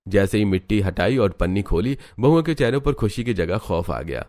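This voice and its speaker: A man's voice: fairly low pitch, sing-song, normal speed, darkish tone. A man narrating a scripted cartoon family story as the storyteller.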